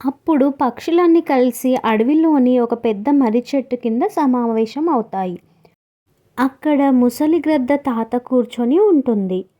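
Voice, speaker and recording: A woman's voice: high voice, unhurried, highs cut off. A woman narrator reading a scripted story for children.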